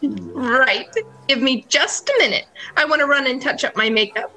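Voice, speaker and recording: A woman's voice: sits high, moderate pace, rough recording. A woman playing a frustrated, struggling nightclub singer in an amateur radio play. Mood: evasive. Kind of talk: hobby radio play acting.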